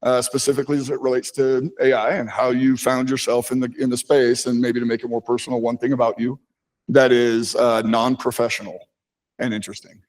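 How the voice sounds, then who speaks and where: American man, panel moderator at a conference, relaxed, chatty, self-deprecating. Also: mid-range voice, a little fast, low-quality recording. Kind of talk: casual conference panel talk. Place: conference hall. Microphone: handheld microphone.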